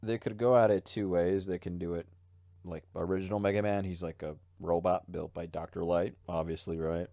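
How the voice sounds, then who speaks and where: A man's voice: low voice, steady tone, brisk, vocal fry, mellow, no echo, clean studio sound. A man chatting casually on a podcast, blunt and slangy. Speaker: podcast panelist. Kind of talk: casual chat.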